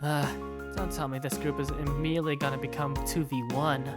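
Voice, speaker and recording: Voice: mid-range voice, level tone, brisk, over loud music, close to an everyday voice. A performer voicing an awkward, easily flustered male student who narrates his school day in a hesitant, wry first-person style. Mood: wry, uneasy. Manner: hesitant, wry inner monologue. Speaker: narrator and main character of a visual novel. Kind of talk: first-person visual novel narration and dialogue.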